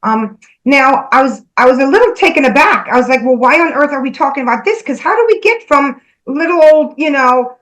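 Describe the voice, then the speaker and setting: A woman with a somewhat high-pitched voice speaks in a casual conversation. She earnestly relays messages she says she is given, as a spiritual medium would. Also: lively intonation, a little fast, few pauses, a bit bright, poor audio.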